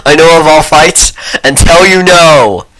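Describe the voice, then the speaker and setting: Boastful male internet fighter, read by a man, bright voice, slow emphatic broken phrasing, comic blog reading. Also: on the high side, voice pushed up, low-quality recording. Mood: boastful, earnest. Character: boastful male fight-obsessed blogger with mangled grammar.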